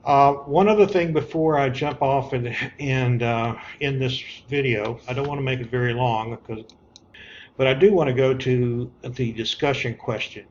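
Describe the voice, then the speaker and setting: Male course instructor, mid-pitched, informal and instructive, walking through material on screen, dull home-style recording. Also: normal speed, a little mellow. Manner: plainspoken, instructive, repeats key points. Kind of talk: informal screen-share walkthrough of an assignment. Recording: dull lo-fi audio with background noise.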